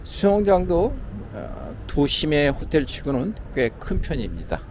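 A man's voice: a little high, huge pitch swings, measured pace, darkish tone. A man narrating a casual walking tour of a city hotel's outdoor pool, with lots of filler words, recorded on a camera mic outdoors. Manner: conversational, frequent "자" and "뭐" fillers. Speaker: travel vlogger touring a hotel. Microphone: handheld camera mic. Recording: outdoor camera audio with traffic noise. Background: city traffic ambience.

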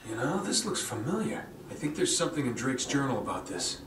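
An actor playing an easygoing, sardonic treasure hunter in casual video game banter. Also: male voice, mid-range voice, sing-song, a little fast, recorded from a distance, close to an everyday voice. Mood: curious. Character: wry, easygoing young male treasure hunter. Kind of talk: naturalistic video game acting. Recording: roomy, noisy game audio from afar.

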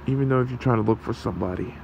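A man voicing a gentle, protective fox creature in an ASMR roleplay, with a soothing, halting, gravelly delivery. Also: medium pitch, a little hiss or hum, natural voice, low-quality recording. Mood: caring. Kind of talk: ASMR roleplay, soft comforting talk.

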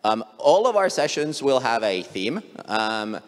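A man's voice: mid-range voice, sing-song, a little slow. A man in his thirties from Finland, hosting a conference on stage with a handheld mic, chatty and joking with lots of fillers. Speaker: conference host. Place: large conference hall stage. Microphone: handheld microphone. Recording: long hall reverb.

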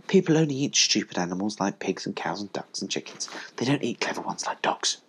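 A man's voice: on the low side, very animated, fairly quick, whispered. A man voicing a motherly female collie in a storybook, kindly but firm with her young. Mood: reassuring.

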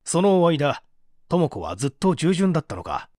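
A man reading dramatically as a formal prosecutor, questioning a suspect in a measured, pressing way. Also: on the high side, sing-song, a little mellow, dry recording, studio quality. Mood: probing, stern.

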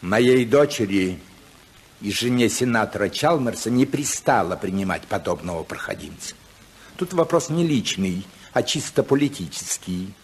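Low-voiced, stern, haughty old tycoon. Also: male voice, expressive, moderate pace. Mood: stern, disapproving. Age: older. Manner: pompous, authoritative. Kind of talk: 1950s Soviet radio play acting. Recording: noisy old 1950s radio recording.

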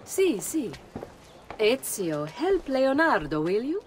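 A woman's voice: a little high, sing-song, slow, a little hiss or hum. A woman playing a wry, composed middle-aged Italian noblewoman and mother in a video game, with an Italian accent. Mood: gracious. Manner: composed, knowing. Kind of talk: dramatic video game acting.

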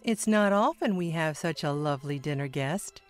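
A woman's voice: low voice, lively intonation, normal speed, soft background music. A woman playing a composed, skeptical, motherly royal attendant in an audio drama, speaking formally. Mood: gracious.

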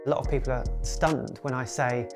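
A man's voice: mid-range voice, steady tone, fairly quick, music in the background. A young man from Surrey in a to-camera interview, talking earnestly about his working life. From Surrey, south of England. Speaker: interviewee, TV worker. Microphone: studio interview, music behind.

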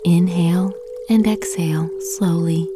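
A woman guiding a meditation slowly and soothingly with long pauses, her soft voice turning breathy at phrase ends. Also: lowish voice, steady tone, noisy background. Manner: slow, soothing, gentle instruction with long pauses. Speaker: meditation guide. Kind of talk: guided meditation.

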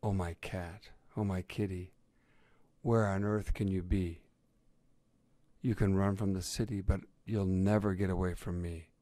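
A man's voice: deep voice, flat delivery, measured pace, warm tone, no room sound. A man voicing a lovelorn, melodramatic lady setter dog who speaks plaintively in a storybook tale. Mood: pining.

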